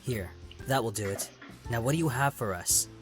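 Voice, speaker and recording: A man's voice: mid-range voice, moderate pace, over music, close to an everyday voice. An actor playing a put-upon, grumbling young man in a visual novel game. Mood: businesslike.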